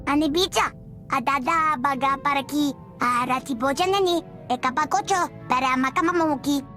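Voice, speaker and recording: A woman's voice: sits high, bright, forceful tone, soft background music. A woman voicing a small furry female alien warrior who babbles in an alien tongue for a video game. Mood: chattering. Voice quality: chattering alien gibberish speech. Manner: babbling, rapid singsong syllables. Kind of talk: video game cutscene acting.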